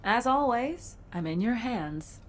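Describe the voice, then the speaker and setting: An actress playing a determined, stubborn cyborg gunfighter in a video game, speaking tersely and earnestly. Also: female voice, on the high side, lively intonation, slow pace, a little hiss or hum. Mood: quiet pleading. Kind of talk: game cutscene dialogue.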